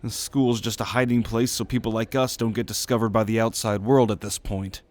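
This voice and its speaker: A man's voice: on the low side, flat delivery, brisk, a little mellow, pitched below the performer's own voice, natural voice. A man voicing a sardonic, foul-mouthed young student in an exasperated drawl for an ASMR roleplay audio drama. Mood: grim, exasperated. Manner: exasperated, sarcastic, swearing drawl.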